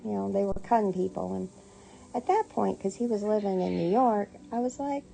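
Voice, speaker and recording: A woman's voice: medium pitch, normal speed, mellow, slight background noise, poor audio. A middle-aged American woman telling a personal story casually, with a playful, sassy manner and acted-out dialogue. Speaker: storyteller, online content creator. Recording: music playing behind the voice. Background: clear background music.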